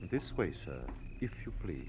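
An actor playing a haughty, formal butler to a rich household in an old-time radio drama. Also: male voice, low voice, big pitch swings, moderate pace, a little mellow, faint music behind. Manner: formal, deferential, snooty. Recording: very noisy vintage radio recording.

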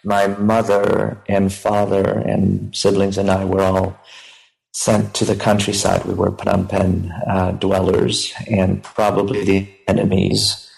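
A man in his thirties from Cambodia recounting his story as an interview guest, halting and pausing often mid-sentence. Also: low-pitched, gravelly, a little mellow, rough recording. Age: 30s. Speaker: interview guest, professor and author. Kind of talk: recounting personal family history in an interview.

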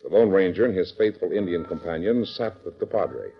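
Male radio narrator: dramatic, lowish, swinging intonation, muffled vintage recording with faint music. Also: lively intonation, brisk. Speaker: announcer and narrator. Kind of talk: 1940s radio scripted narration.

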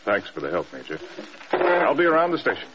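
An actor with an American accent playing a stern, blunt air force general in an old-time radio drama. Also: male voice, medium pitch, wildly swooping pitch, a little fast. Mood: curt, businesslike. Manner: commanding, clipped, blunt. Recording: dull old radio recording, clear noise.

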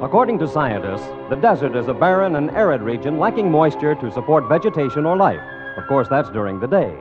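A male radio host reads a wry, flowery introduction in mid-century style. His fairly high voice moves animatedly over music, on a dull old recording. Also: very animated, brisk. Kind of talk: scripted 1940s-50s radio music show announcing. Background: loud music.

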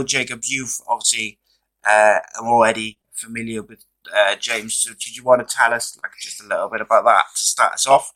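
Hoarse guy hosting a podcast, bright voice, chatty and laid back, lots of ums and mates. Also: medium pitch, poor audio. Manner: relaxed, chatty, friendly with fillers. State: losing his voice. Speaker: podcast host. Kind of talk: casual podcast chat. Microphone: podcast microphone.

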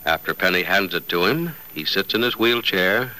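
Male 1950s radio announcer: very bright, punchy, polished scripted reads with animated pitch. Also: moderate pace, rough recording. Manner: emphatic, polished delivery. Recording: vintage radio broadcast recording.

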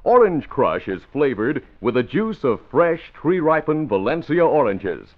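A man's voice: a little high, big pitch swings, measured pace. A man announcing and narrating dramatically in the scripted style of old radio. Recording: dull old radio recording. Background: music.

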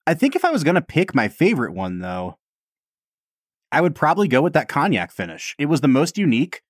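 A man's voice: a little high, huge pitch swings, fairly quick, no room sound, studio quality. A man co-hosting a podcast in casual chat, talkative and self-deprecating, often breaking into laughs. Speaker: podcast co-host.

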